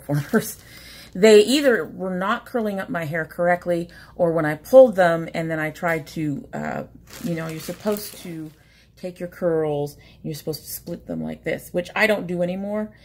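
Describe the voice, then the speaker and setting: A woman in her forties talks through an unboxing in a slightly low voice, rambling and stumbling over her words. She sounds a bit sniffly from allergies. The sound comes from a webcam in a small room at home. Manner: chatty, rambling, self-interrupting. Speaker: beauty vlogger. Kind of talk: casual unboxing chat. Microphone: webcam at desk.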